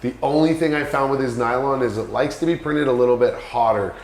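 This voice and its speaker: A man's voice: medium pitch, fast talker, a little mellow, echoey room. A man hosting a casual product chat, easygoing and agreeable, recorded in a 3D printing shop. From Canada. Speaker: host.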